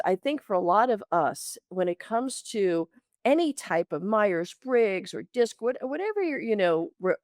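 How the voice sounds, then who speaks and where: Bronx woman, coach on a podcast, medium pitch, dry close sound, chatty, acts out quotes. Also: a little mellow, no room sound. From the Bronx, New York, United States. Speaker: interview guest, leadership coach. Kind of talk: conversational interview.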